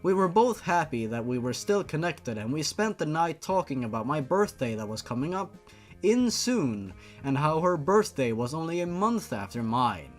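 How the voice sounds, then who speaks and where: A man's voice: fairly high pitch, very animated, normal speed. Male fan fiction narrator: dramatic read, very varied intonation, faint music underneath.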